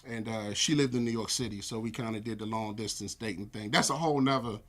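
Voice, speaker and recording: Give me an American man in his forties, a church elder, telling stories in a relaxed interview. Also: on the low side, quick pace. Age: 40s. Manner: relaxed storytelling with frequent fillers. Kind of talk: casual interview conversation.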